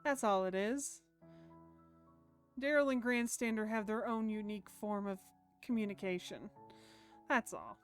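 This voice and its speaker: A woman's voice: mid-range voice, animated, measured pace, pauses often. A woman streaming a visual novel, chatting informally with viewers and reading text aloud, mildly sweary. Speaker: game streamer. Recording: music playing behind the voice. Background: background music.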